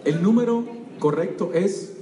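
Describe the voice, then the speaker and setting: An older man with a Cuban accent giving an energetic motivational seminar talk, constantly quizzing his audience. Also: high voice, a little slow, background noise. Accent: Cuban Spanish. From Cuba. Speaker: seminar speaker. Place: large auditorium. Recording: echoey hall, distant mic, faint crowd.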